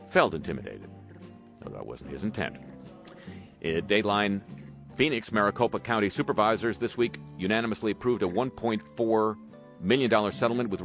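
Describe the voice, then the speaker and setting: Low-voiced wry male radio host. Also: vocal fry, fairly bright tone. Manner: wry, sarcastic asides. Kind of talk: radio talk commentary.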